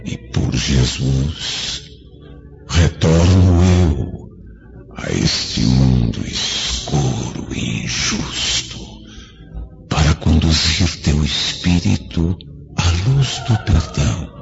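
A compassionate ghostly friend, exhorting in Brazilian Portuguese radio-drama style. The voice is extremely low and creaky, unfolding very slowly and gravely over loud music. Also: male voice, animated, heavily acted. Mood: solemn, pleading. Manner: slow, grave, pleading, preacher-like delivery. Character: solemn male spirit of an old friend, compassionate, exhorting. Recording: dull lo-fi audio, loud music behind.

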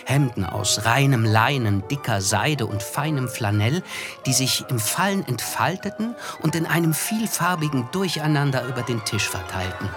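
An actor narrating a literary radio play as an observant, wistful friend, in a measured, contemplative manner. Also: male voice, medium pitch, animated, music in the background, lightly acted.

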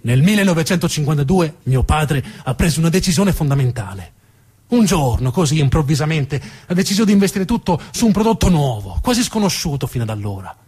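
A man's voice: fairly high pitch, sing-song, brisk, darkish tone, slightly rough. A man voicing a proud male company heir turned chief executive, emphatic and given to repeating his words, in a stage monologue.

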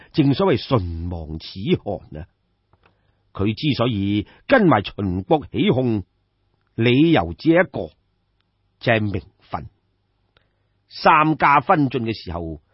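A man with a Cantonese accent voicing a composed, shrewd court adviser of ancient China, measured and deferential, in an audio story. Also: medium pitch, slightly rough, no room sound, dull audio.

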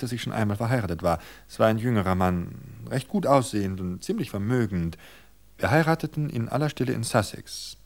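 A man reading as a calm, scheming woman poisoner in a German radio drama, in a cool, matter-of-fact way. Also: mid-range voice, creaky.

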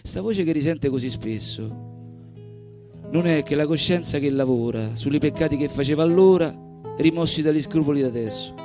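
A man with a Roman accent giving an expressive, theatrical live recital of comic verse in dialect. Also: on the high side, moderate pace. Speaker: stage performer. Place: live stage at a social centre event. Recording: live evening show recording with music.

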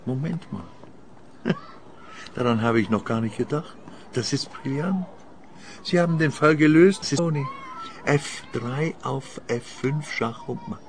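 A man's voice: medium pitch, measured pace, long pauses, close to an everyday voice. Guy playing grouchy old man on the run, defensive, radio drama, slight noise behind. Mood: excited. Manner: grumpy, defensive. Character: grumbling, defensive old man wanted by police. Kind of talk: radio play acting.

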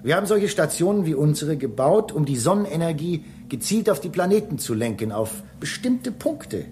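A man's voice: fairly high pitch, big pitch swings, moderate pace, darkish tone, a little hiss or hum. A man playing a human space-station engineer in a radio drama, explaining things emphatically and with some impatience. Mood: lecturing. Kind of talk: German radio drama acting.

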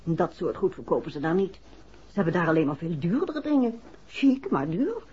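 An actress playing a playful, inquisitive detective's wife in a 1960s Dutch radio play, chatty and affectionate in manner. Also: female voice, on the low side, very animated, moderate pace, mellow, breathy edge, exaggerated voice. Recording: dull vintage radio recording.

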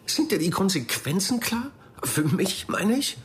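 A man's voice: high-pitched, very animated, unhurried, slight background noise. A man playing a defensive, self-justifying accused press spokesman in a radio crime drama, speaking hesitantly. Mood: pleading. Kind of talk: naturalistic German radio drama acting.

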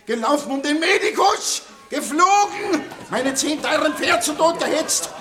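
A man's voice: very high voice, lively intonation, measured pace, bright, forceful tone, some chatter behind, full character voice. An actor playing a fussy, fawning, flustered rich father in a radio play. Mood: frantic, dismayed. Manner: agitated, obsequious, lamenting.

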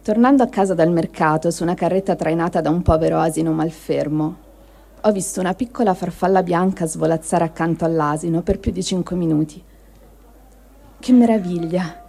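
Low, creaky, soft-toned woman reading. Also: a little fast. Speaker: reader of a testimony. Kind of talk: literary reading of first-person war diary.

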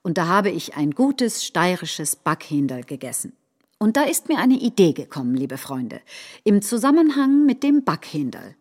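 A woman acting in a radio play, reciting a populist politician's speech in a deliberate, rhetorical manner. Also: lowish voice, sing-song, darkish tone. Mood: combative, mocking. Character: combative, folksy populist politician rallying supporters.

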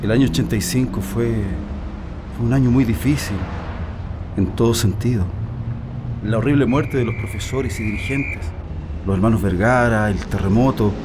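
An actor playing a polite, sympathetic reporter who speaks with courteous hesitation in a radio drama. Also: male voice, mid-range voice, normal speed, long pauses, noisy background, close to an everyday voice. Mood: sympathetic, sombre. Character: polite, sympathetic male reporter interviewing a family. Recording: music bed under the voice.

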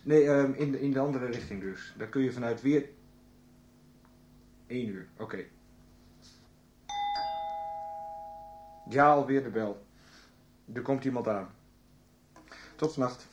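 An actor playing a busy, smoothly hospitable man in a naturalistic radio soap. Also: mid-range voice, moderate pace, long pauses, noisy background, lightly acted. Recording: echoey room, faint music.

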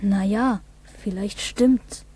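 A woman's voice: medium pitch, takes it slowly, mellow, slightly rough, no echo. A woman playing a suspicious, blunt village boy in a 1960s German radio drama. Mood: wary. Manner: blunt, challenging. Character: suspicious, cheeky village boy, tough-talking child.

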